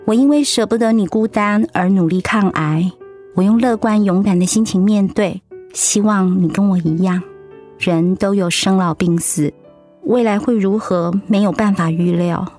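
A woman's voice: medium pitch, moderate pace, darkish tone, natural voice. Woman acting a tender middle-aged wife, music behind. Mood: tender, earnest. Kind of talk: radio drama acting. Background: background music.

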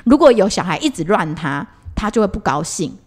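A woman's voice: mid-range voice, sing-song, fairly quick, a little mellow. A woman with a Taiwanese Mandarin accent in a casual podcast chat, telling stories expressively as co-host.

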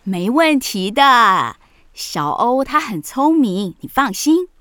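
A woman voicing a lively, kindly witch aunt in an expressive children's audio drama style. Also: on the high side, slow pace, dry recording, clean studio sound, exaggerated voice. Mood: reassuring. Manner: expressive, animated.

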